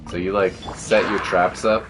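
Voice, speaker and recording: A man's voice: sits low, little pitch movement, normal speed, over music. A man chatting casually and commentating as a game streamer, with a laid-back, slangy way of talking. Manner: laid-back slangy talk with mild swearing. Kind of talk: casual livestream chat and gameplay commentary.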